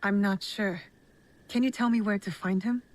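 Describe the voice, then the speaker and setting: A woman playing a composed, determined young female shinobi, direct and measured, in a video game cutscene. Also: mid-range voice, steady tone, natural voice. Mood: puzzled, curious.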